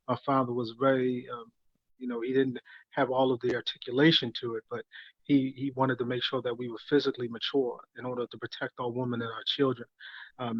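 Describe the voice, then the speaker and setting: A man being interviewed, telling his life story earnestly in a halting way full of fillers and restarts. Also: mid-range voice, no room sound, muffled recording. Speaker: guest. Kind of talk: reflective conversational storytelling.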